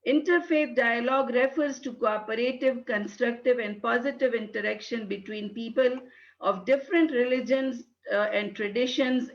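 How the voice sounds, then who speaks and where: A woman from Pakistan, English not her first language, giving a hesitant webinar talk full of fillers. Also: a little high. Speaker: guest speaker. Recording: dull lo-fi webinar audio.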